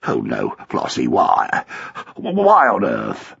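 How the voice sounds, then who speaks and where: A man's voice: rumbling low, wildly swooping pitch, slow pace, gravelly, a bit bright, husky, muffled recording, voice pushed down, heavily acted. A man reading a story and voicing a glum, disapproving, stuffy husband who mutters and grumbles reluctantly. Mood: reluctant, pained.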